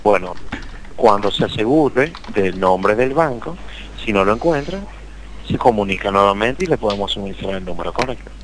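A man's voice: on the low side, expressive, normal speed. A man working as a customer service agent on the phone, speaking formally and questioning insistently. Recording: noisy telephone line.

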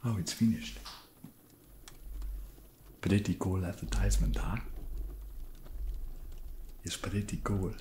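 A young man playing an eager vampire housemate with a put-on foreign vampire accent, soft-spoken, halting and deadpan in a comic ASMR roleplay. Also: low voice, roomy sound, slight background noise, pitched above the performer's own voice. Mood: awkwardly welcoming. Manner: halting, deadpan, awkwardly friendly. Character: awkward, eager male vampire housemate desperate to seem trendy.

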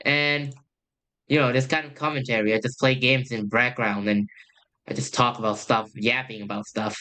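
Bright-voiced young man rambling over gameplay. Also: mid-range voice, steady tone, moderate pace. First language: Thai. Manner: rambling, hesitant, trails off with "I guess". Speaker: youtuber. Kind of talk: casual gameplay commentary.

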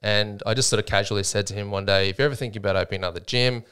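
A man's voice: lowish voice, steady tone, racing, fairly bright tone, clean tone. An Australian man in his thirties telling his story in a casual interview, chatty with lots of fillers and laughs, on a studio microphone. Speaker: gym co-owner, guest. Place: small podcast studio. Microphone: studio mic with headphones.

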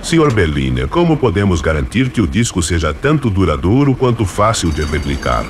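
An actor playing an eager, deferential laboratory assistant in a radio drama, on a hissy recording. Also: male voice, low voice, big pitch swings, brisk. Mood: earnest, questioning. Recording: hissy, clearly noisy track.

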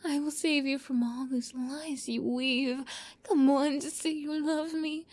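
A woman playing an obsessive young yandere captor in an ASMR roleplay, close to the mic, moving between soft whispers and giddy, high outbursts. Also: fairly even intonation, unhurried. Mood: manic, coaxing.

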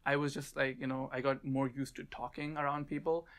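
Young Pakistani-accented man, student, relaxed chatty delivery full of fillers, seated on camera in a small dark studio. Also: medium pitch, a little fast. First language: Urdu. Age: young adult. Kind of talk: casual personal interview.

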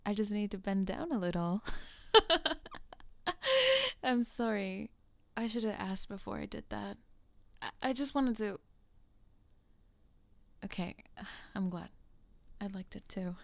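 A woman's voice: mid-range voice, big pitch swings, slow pace, gravelly, long pauses, no echo, exaggerated voice. Woman as a shy nerdy tomboy, dry close sound. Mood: playful, affectionate. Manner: hesitant, earnest, gently teasing. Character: shy, nerdy, sweet tall tomboy teenage girl. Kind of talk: ASMR roleplay acting.

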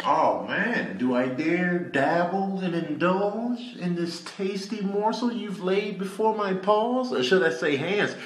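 A man's voice: high-pitched, slow, few pauses. An American man voicing a grandiose, snack-loving raccoon in a comic animal dub, with florid wording and a roomy echo. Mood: grandly pleased. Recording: echoey, roomy sound.

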